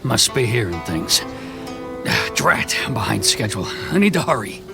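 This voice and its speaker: An actor playing a corrupt, self-important tax official in a video game, pompous and officious with a gravelly voice. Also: male voice, lowish voice, sing-song, moderate pace, music in the background. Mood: scheming, suspicious.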